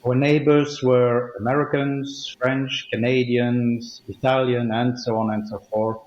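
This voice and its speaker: A man, a native Dutch speaker, answering interview questions in a thoughtful, hesitant way with many pauses. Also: medium pitch, level tone, darkish tone, echoey room, muffled recording. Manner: thoughtful, hesitant with frequent ums. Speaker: guest, company legal officer.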